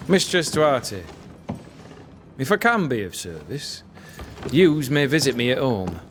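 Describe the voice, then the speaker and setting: A man's voice: medium pitch, sing-song, slow, a little hiss or hum. An actor playing a blunt, dour colonial fighting man in a video game, with archaic speech. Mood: bitter, dismissive. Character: bitter, blunt colonial soldier, a man of blood and battle. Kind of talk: dramatic video game acting. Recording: music behind the voice.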